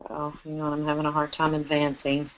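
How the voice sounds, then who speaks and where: A woman's voice: low-pitched, monotone, fairly quick, slight background noise, low-quality recording. A woman with a Texan accent, a chef hosting a webinar and talking her listeners through it in a chatty, encouraging way with plenty of fillers. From Texas. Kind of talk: webinar presentation.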